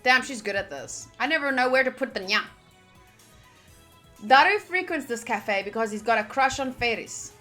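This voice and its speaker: A woman hosting a visual novel playthrough, reading the narration aloud and adding chatty, expressive asides. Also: a little high, moderate pace. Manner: chatty, expressive, reacting fondly to the game. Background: background music.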